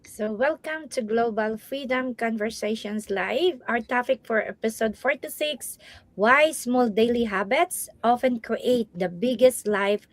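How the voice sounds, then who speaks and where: A woman's voice: on the high side, unhurried, no room sound. A woman from the Philippines, a panelist introducing herself and the topic in an upbeat, proud way.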